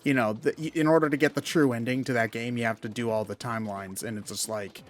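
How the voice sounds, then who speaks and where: A man's voice: medium pitch, fairly even intonation, quick pace, soft background music. A man commentating on games in a casual, conversational style. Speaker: game commentator. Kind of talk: casual game commentary chat.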